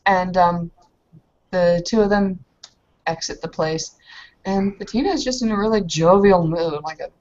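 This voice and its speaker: Low-voiced woman narrating a roleplaying game. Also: highs cut off. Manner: hesitant, filler-heavy, casual slangy phrasing. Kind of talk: casual improvised game narration.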